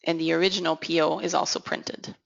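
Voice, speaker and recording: Low, creaky-voiced woman presenting a demo. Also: flat delivery, a little fast, a bit bright. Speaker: technical consultant presenting a software demo. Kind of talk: instructional software walkthrough narration. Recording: dull, lo-fi audio. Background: voice-over for a screen recording.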